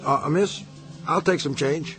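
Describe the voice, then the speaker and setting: An actor playing a stiff, self-righteous husband with a gambling obsession, hesitant and easily flustered, in a radio drama. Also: male voice, fairly high pitch, measured pace, close to an everyday voice. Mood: agitated. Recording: studio radio drama with music underneath.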